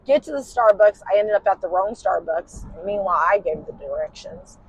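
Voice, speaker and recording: Tired woman with a husky smoky voice. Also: medium pitch, expressive, moderate pace, poor audio. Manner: rambling, with many "you know" fillers. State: tired, late at night. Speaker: podcast host. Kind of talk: casual storytelling. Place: inside a car while driving.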